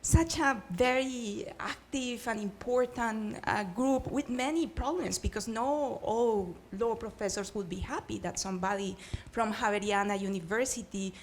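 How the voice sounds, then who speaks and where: A woman's voice: on the high side, moderate pace, few pauses. A middle-aged woman from Colombia with a Colombian Spanish accent, speaking earnestly on a panel with frequent hesitations. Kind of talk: panel discussion. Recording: faint background noise.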